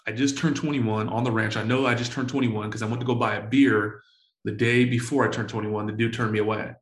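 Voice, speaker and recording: A man in his forties telling stories in a casual interview, full of slang and swearing, excitable and often repeating himself. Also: lowish voice, a little fast, reverberant. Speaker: entrepreneur guest.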